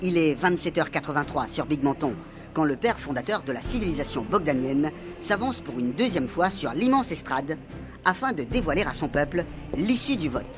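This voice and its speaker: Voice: medium pitch, animated, moderate pace, close to an everyday voice. An actor playing a grand epic narrator of a comic radio serial, reading a scripted dramatic recap over music. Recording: music under the voice.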